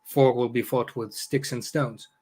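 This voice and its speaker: A man's voice: mid-range voice, steady tone, a little slow, mellow, husky. A middle-aged man from Iraq, a native Arabic speaker, chatting casually as a podcast guest, jokey and full of hesitant fillers. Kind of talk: casual conversation.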